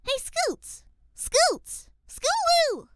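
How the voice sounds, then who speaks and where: Tiny pony girl, super high squeak of a voice, really bouncy pitch, worried friend, storybook fan audio. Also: very animated, extremely slow, fairly bright tone, dry recording, clean studio sound, full character voice. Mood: calling out. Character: little filly pony friend, concerned and chiding. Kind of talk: audio fan fiction character acting.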